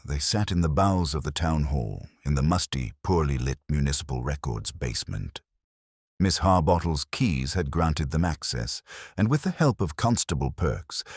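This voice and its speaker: A man narrating a mystery story in a measured, scripted storytelling delivery. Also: rumbling low, no echo, studio quality.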